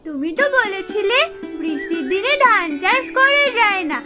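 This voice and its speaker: A woman's voice: very high voice, big pitch swings, moderate pace, loud music behind the voice. A woman voicing an earnest, innocent little village girl in a cartoon fairy tale. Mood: excited. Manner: childlike, eager, innocent.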